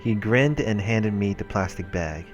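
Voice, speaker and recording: A man with a very low voice reads a visual novel aloud for a let's play, slipping between narration and offhand comments. Faint music plays behind him. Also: a little slow, dull audio. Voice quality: low-pitched. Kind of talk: read-aloud narration with casual asides. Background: game music.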